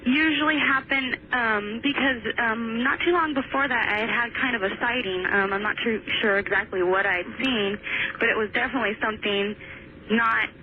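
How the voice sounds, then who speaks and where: A woman's voice: fairly high pitch, moderate pace. A young woman calling a radio show, casually recounting a personal experience in a tentative, hesitant way. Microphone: telephone. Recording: tinny phone line, faint noise.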